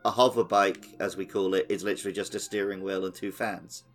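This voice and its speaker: A man's voice: sits low, fairly even intonation, brisk. A British man co-hosting a podcast, chatting casually about video games. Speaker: podcast co-host. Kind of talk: casual game discussion chat. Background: background music.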